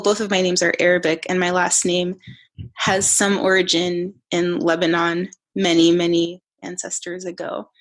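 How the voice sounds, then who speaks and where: An American woman speaks as a guest in a casual interview. She answers thoughtfully and amiably, pausing on "um" as she gathers her thoughts. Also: mid-range voice. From United States.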